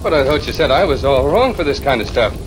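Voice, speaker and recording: A man's voice: medium pitch, animated, quick pace, close to an everyday voice. An actor playing a plain-spoken, sceptical, good-natured local man, folksy, in a 1940s radio play. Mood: puzzled, doubtful. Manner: folksy, colloquial. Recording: noisy old radio recording, rain-like hiss.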